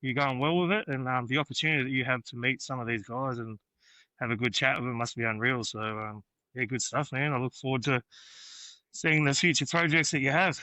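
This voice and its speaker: A man's voice: mid-range voice, steady tone, fairly quick, bright, forceful tone, no echo, rough recording. A young man from New South Wales with an Australian accent, a guest chatting easygoingly about where he grew up, with many ums and uhs. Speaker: podcast guest, graffiti artist. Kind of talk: casual interview chat.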